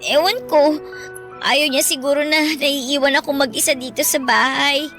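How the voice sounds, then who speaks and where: A woman's voice: high-pitched, steady tone, normal speed, fairly bright tone, soft background music. An actress playing a guilty, defensive teenage girl in a radio drama, uneasy and apologetic.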